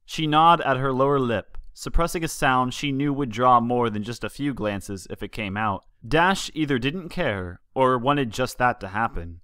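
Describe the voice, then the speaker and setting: A man's voice: medium pitch, moderate pace, hardly any pauses, a bit bright, smooth, no room sound, clean studio sound. A man reading a story aloud as scripted narration with dramatic delivery. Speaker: fan fiction reader.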